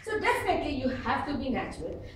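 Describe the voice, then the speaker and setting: A woman with an Indian accent giving an instructional speech to a live audience in an expressive, emphatic manner, acting out examples as she goes. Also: high-pitched, fast. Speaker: speaker at a public speaking club. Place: indoor meeting room before an audience. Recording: distant, very echoey room with faint noise.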